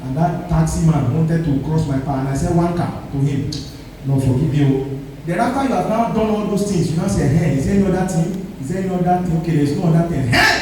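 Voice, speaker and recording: High-voiced preaching man in echoey hall. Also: fast talker, darkish tone, far from the mic, a little hiss or hum. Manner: emphatic preaching with call-and-response. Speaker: pastor. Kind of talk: sermon.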